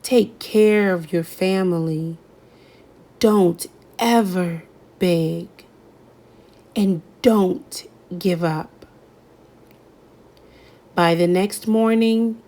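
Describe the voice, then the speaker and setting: A woman reading a children's picture book aloud, gentle and expressive, lifting her voice on key words. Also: on the low side. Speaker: storybook reader. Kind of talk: children's read-aloud narration.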